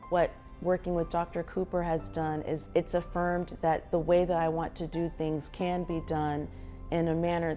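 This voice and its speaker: Female professor, middle-aged, low and mellow, explaining her work on camera with light music behind. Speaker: public health professor. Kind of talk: documentary interview.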